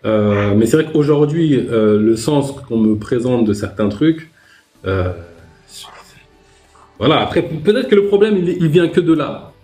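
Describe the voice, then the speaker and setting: Man hosting a live chat, lots of ums and pauses, echoey room, faint music behind. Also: medium pitch, expressive, dark tone. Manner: hesitant, many fillers, reflective. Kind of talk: live online discussion.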